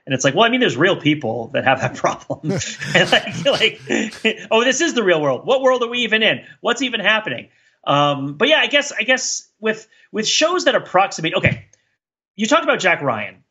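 Bright, high-voiced man rambling on a podcast. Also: very animated, breathy edge, highs cut off. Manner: rambling, halting, thinking aloud. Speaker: podcast co-host. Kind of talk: casual chat, rambling riffs.

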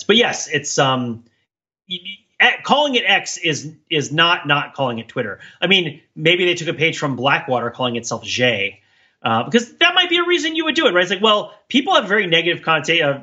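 Bright-voiced rambling man, slightly muffled recording. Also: fairly high pitch, very animated, fast. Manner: rambling, digressive. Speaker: podcast co-host. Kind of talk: casual pop-culture discussion.

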